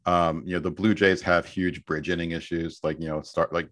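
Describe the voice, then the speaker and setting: A man with a lowish voice talks with a guest's earnest drive. He often stumbles and repeats words before a point gets going, then settles into a steady case. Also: normal speed. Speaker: podcast guest. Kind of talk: casual podcast chat.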